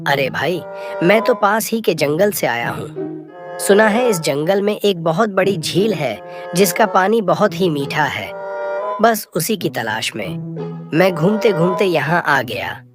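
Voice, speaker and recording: A friendly male bear acted by a woman wanders through a cartoon fable, asking his way. The voice is a bit low for a woman and amiable, over clearly audible music. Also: lively intonation, measured pace. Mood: friendly, curious. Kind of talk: scripted cartoon story dialogue. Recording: music under the voice.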